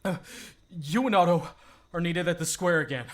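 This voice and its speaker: A man's voice: a little high, moderate pace, voice pushed up, natural voice. A man voicing a frantic, urgent bringer of bad news in an audiobook. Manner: urgent, agitated. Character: frantic man in a suit bringing dire warnings. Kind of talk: acted audiobook dialogue.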